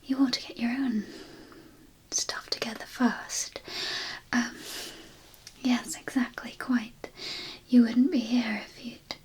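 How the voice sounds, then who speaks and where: A woman plays a brain-eating zombie doctor in an ASMR roleplay. The whisper is slightly high and unhurried, full of hesitant, rambling asides. The temper is cheeky and quick to take offence. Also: steady tone, a little mellow, slightly rough, heavily acted, rough recording. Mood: defensive, haughty. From Australia.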